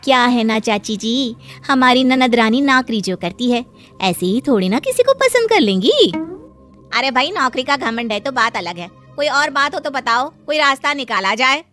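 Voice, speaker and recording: A woman acts a meddling female relative in a moral-story cartoon. The voice is very high and bright, with light music behind. She needles in a pointed, busybody way. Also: expressive, moderate pace. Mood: taunting. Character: meddling, taunting women of family and neighbourhood.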